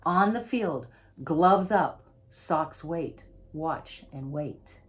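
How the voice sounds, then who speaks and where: A woman reading a children's picture book aloud as its author, with theatrical, emphatic delivery and drawn-out, suspenseful phrasing. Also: low voice, animated. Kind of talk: dramatic read-aloud storytelling for children.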